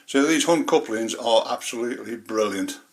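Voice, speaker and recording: A man's voice: medium pitch, normal speed. A man presenting an informal hobby tutorial, explaining things step by step in a rambling, hands-on way. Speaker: model railway hobbyist presenter.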